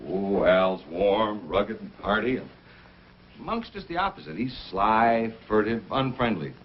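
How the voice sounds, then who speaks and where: An actor playing a worried, talkative logging boss who explains emphatically in an old-time radio adventure. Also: male voice, fairly low pitch, very animated, unhurried. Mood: concerned. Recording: dull old radio, faint noise.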